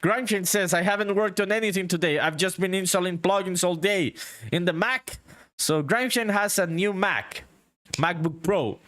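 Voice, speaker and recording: A man's voice: sits high, normal speed, fairly bright tone, no echo, studio quality. A man from a Spanish-speaking country whose first language is Spanish, chatting casually and swearing a lot while he livestreams game development. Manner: swears a lot, laid-back, says "dude" often.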